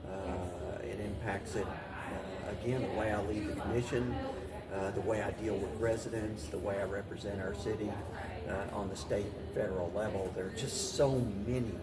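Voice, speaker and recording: A man speaking as an interview guest and city mayor, giving halting, measured answers and often repeating phrases. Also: fairly low pitch, steady tone, over a noisy crowd. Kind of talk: talk show interview answers. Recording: distant mic, clearly noisy room.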